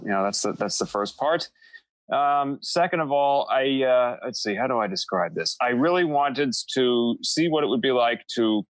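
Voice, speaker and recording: A man's voice: medium pitch, a bit bright, dry recording, rough recording. Man rambling through a casual podcast chat. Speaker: podcast guest, audio drama creator. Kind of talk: casual panel discussion.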